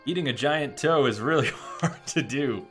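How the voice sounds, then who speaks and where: A man's voice: medium pitch, normal speed, fairly bright tone. A man giving casual live gameplay commentary as a streamer, rambling through explanations in a joking way. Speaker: game streamer. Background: game music.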